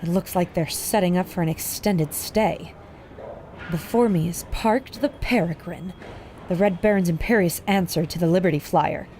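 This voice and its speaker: A woman's voice: lowish voice, normal speed, mellow, slight background noise, lightly acted. A woman playing a plucky adventurer reporter in an audio drama, confiding urgently to her listeners. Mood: awed, observant. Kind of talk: audio drama acting, narrating into a recorder.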